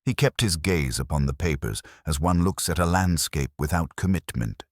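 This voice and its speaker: A man's voice: very deep voice, animated, moderate pace, slightly rough, dry recording, clean studio sound. A man reading literary mystery fiction in a formal, dryly ironic manner. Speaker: narrator and reader. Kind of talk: scripted literary mystery narration.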